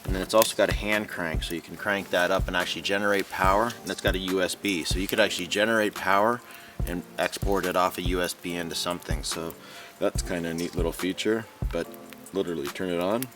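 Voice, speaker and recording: Deep-voiced man chatting from off-mic. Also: steady tone, fast talker. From Niagara region, Ontario, Canada. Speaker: outdoor vlogger reviewing gear. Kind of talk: casual, unscripted chat. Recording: distant mic, music underneath.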